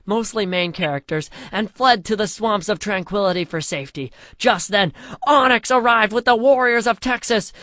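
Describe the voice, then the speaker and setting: A storyteller giving a comedic reading of a crude parody fan story in a mock-epic way, shouting the dialogue and dropping low for the asides. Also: no echo, clean studio sound.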